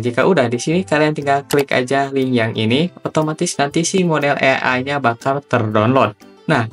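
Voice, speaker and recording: Young male tutorial host, chatty and informal, mid-range voice over background music. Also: medium pitch. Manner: chatty, slangy, step-by-step instructing.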